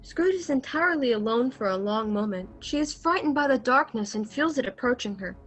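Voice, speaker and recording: High-voiced woman narrating a play. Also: animated, fairly quick. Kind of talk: scripted narration of stage directions. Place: remote home recording over video call.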